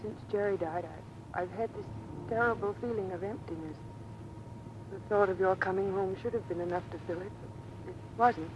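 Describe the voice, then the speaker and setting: Actress as earnest, troubled wife, noisy old radio drama. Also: female voice, lo-fi sound, lightly acted. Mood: hurt, pleading. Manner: halting, emotional, earnest. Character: troubled, self-sacrificing wife of a naval skipper. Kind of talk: vintage American radio drama acting. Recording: noisy vintage radio, engine rumble behind.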